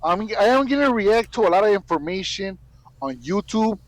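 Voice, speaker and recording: A man's voice: high-pitched, lively intonation, moderate pace, no room sound, rough recording. A man making online videos, talking casually to viewers in slang with Spanish words mixed in. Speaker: YouTube content creator.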